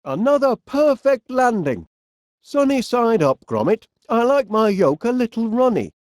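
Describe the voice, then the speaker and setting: A man voicing a genial, polite, eccentric middle-aged inventor with a Northern English accent in an animated comedy game. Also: extremely high pitch, no echo, clean studio sound, heavily acted. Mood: cheerful, pleased. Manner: polite, quaint British turns of phrase, drawn-out words. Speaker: video game voice actor.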